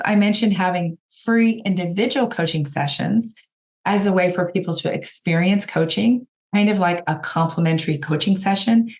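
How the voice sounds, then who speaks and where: A woman with a raspy voice, hoarse from a cold, hosting a podcast and giving direct, encouraging coaching talk to her listeners. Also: on the low side, darkish tone. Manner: encouraging, direct, addresses listeners as you guys. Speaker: podcast host and business coach.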